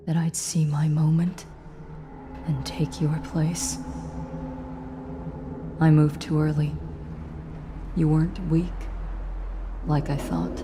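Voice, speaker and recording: A woman's voice: sits low, noisy background. A clipped female assassin lieutenant. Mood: confessing. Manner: clipped, matter-of-fact reports. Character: ambitious young female assassin lieutenant, guarded. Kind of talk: voiced game cutscene. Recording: game music behind.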